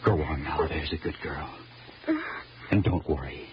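Very deep-voiced, patient family man. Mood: tender, soothing. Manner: earnest, gently reasoning, halting. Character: patient, loving middle-aged father and husband, financially strained. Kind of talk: old-time radio drama acting. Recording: dull old radio recording, faint noise.

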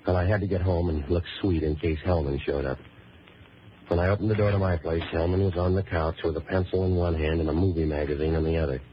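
Deep, wisecracking American noir tough guy, acted by a man. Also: fast, close to an everyday voice. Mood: deadpan. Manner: sardonic, deadpan. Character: wisecracking, cynical waterfront boat-rental man, hardboiled noir hero. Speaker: lead actor and narrator. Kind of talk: 1940s radio noir acting. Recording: muffled, noisy old radio recording.

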